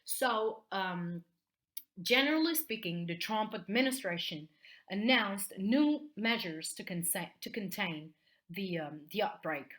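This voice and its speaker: A woman's voice: medium pitch, lively intonation, measured pace, fairly bright tone. A woman with an Italian accent teaching in an explanatory video lesson, often checking in with short tags at the end of phrases. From Italy.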